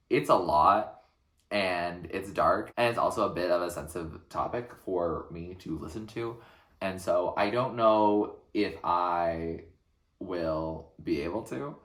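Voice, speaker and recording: A man giving casual reaction commentary as a music reaction host, speaking in a reflective, hesitant, halting way in an echoey room. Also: deep voice, fairly bright tone.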